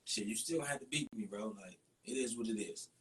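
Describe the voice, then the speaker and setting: A man's voice: fairly low pitch, fairly quick, a bit bright. An American man chatting casually and bluntly as a guest fighter, with slangy, profane speech. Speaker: MMA fighter, podcast guest. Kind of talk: casual chat. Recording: distant mic, noisy room.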